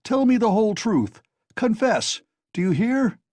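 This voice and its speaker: A furious young man bellows and scolds his friend, as a male narrator puts him on. The voice sits high and husky, with big lively swings. The sound is dry and close. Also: measured pace, no room sound, heavily acted. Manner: shouting, scolding.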